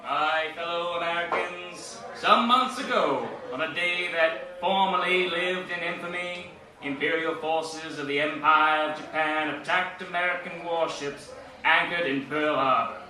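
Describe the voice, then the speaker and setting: A man playing a solemn President giving a formal, measured 1940s radio fireside chat in a satirical sketch. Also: on the high side, fairly even intonation, a crowd in the background, close to an everyday voice. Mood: grave, resolute. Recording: echoey old-radio sound, distant.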